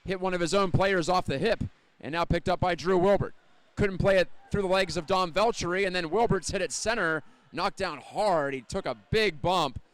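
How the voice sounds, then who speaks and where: A man's voice: high voice, moderate pace, fairly bright tone, no echo. A man with an American accent calling live hockey play-by-play, fluent and descriptive, lifting his energy with the action. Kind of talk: live sports commentary.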